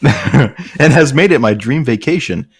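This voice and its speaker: A man's voice: mid-range voice, lively intonation, unhurried, breathy edge, no room sound, clean studio sound. A man in casual comedic banter, reading aloud in a mock-earnest way and often laughing. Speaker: online comedy show host reading fanfiction aloud.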